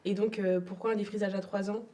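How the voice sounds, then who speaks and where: Soft-toned woman, reflective, a bit off-mic. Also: mid-range voice, little pitch movement, fast, far from the mic. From Togo. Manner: reflective, repeats words, frequent hesitations. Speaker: interview guest. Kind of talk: personal testimony interview.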